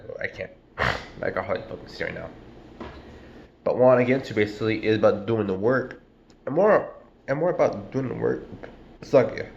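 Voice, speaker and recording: Congested man, low voice, casual profane preacher, echoey lo-fi room. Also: slight background noise. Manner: slangy and profane, drawls "bro" and "man". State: stuffy, congested from a cold. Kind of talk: casual street-style sermon.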